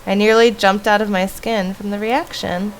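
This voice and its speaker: A woman's voice: mid-range voice, flat delivery, moderate pace, slight background noise, poor audio. Woman streamer reading a horror game aloud. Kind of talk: reading a horror visual novel aloud with casual asides. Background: faint music.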